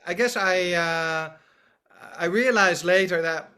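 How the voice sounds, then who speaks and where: A man with a Dutch accent, a music school founder talking casually as a podcast guest, speaking in halting, restarting phrases. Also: high voice, pushed and bright, smooth. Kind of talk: casual conversational interview.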